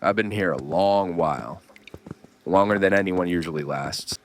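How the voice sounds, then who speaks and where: An actor playing a gruff, weary survivor with a wry, streetwise way of explaining things, in a horror audio drama. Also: male voice, low-pitched, moderate pace, a little hiss or hum. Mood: grim explaining. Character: gruff, weary male survivor of a nightmare dimension.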